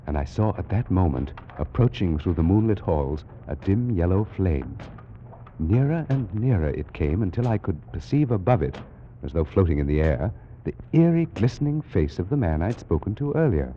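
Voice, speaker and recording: An actor playing a scholarly British Egyptologist, formally recounting an eerie adventure in a 1940s radio drama. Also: male voice, low-pitched, sing-song, moderate pace. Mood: uneasy, suspenseful. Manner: formal, precise, dramatic storytelling. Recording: muffled, noisy vintage radio recording.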